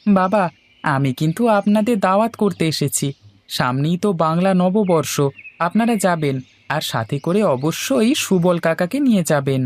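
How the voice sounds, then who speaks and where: Woman voicing a low-pitched, easygoing young husband. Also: animated, a little slow, darkish tone. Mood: polite. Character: young village barber husband, playful, generous, boastful. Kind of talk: Bengali cartoon voice acting.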